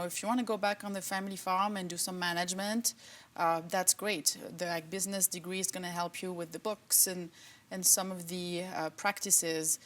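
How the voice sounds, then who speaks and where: Lady prof, kinda low voice, thoughtful and easygoing, uh and um a lot. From France. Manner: relaxed, thoughtful, frequent fillers. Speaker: agriculture professor and department chair. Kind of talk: interview answers.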